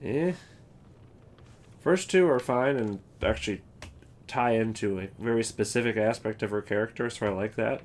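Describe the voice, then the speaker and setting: A man's voice: lowish voice, moderate pace, pauses often. A man giving casual, off-the-cuff commentary as the host of a ranking video.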